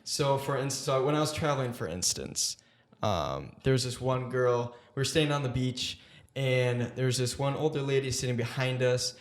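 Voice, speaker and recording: A man's voice: mid-range voice, moderate pace. A young man hosting a podcast interview, talking hesitantly with many fillers. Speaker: podcast host.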